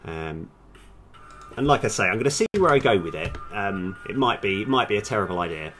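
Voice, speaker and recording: A man's voice: on the low side, expressive, brisk, fairly bright tone, soft background music. A man thinking aloud in a casual, hesitant, self-deprecating way while live streaming as a game developer.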